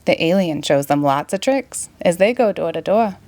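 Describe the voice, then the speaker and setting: A woman's voice: fairly low pitch, normal speed, dry recording. Woman reading a storybook, Boston accent. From Massachusetts, United States. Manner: expressive, playful storytelling in rhyme. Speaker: storybook reader. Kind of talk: children's storytime read-aloud.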